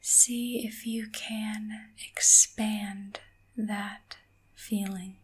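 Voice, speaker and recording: A woman softly whispering a guided ASMR meditation in a gentle, calming manner, leaving long pauses between short phrases. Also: medium pitch. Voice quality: soft, breathy whisper.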